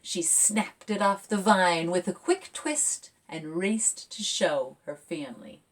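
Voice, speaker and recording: A woman children's author talking and reading a picture book aloud for young listeners in an expressive, engaging way, as if asking them along. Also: fairly low pitch, takes it slowly, distant mic.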